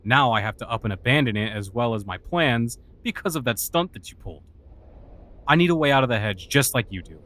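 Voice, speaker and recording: A man's voice: mid-range voice, animated, fairly quick, a bit bright, natural voice. A man playing a cynical, sarcastic human outcast in a fantasy audio drama. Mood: irritated. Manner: sarcastic, mocking.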